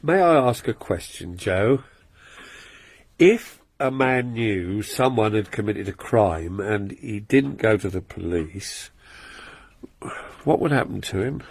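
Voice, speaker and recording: An actor playing a nervous, anxious householder who hesitates and stammers, with a gravelly, husky voice. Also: male voice, lowish voice, expressive, slow pace, no room sound, exaggerated voice. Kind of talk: radio drama acting.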